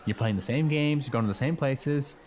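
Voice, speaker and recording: A man's voice: mid-range voice, brisk, a little mellow, close to an everyday voice. A man playing a laid-back, chummy teenage schoolboy in an improvised radio play, rambling in casual slang. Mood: easygoing, philosophical.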